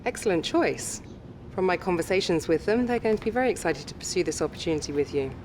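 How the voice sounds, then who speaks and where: An actress playing a composed, businesslike sports agent in a game cutscene, measured and reassuring as she advises a client. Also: lowish voice, animated, a little mellow, a little hiss or hum, lightly acted. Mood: pleased, businesslike. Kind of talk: scripted game cutscene dialogue.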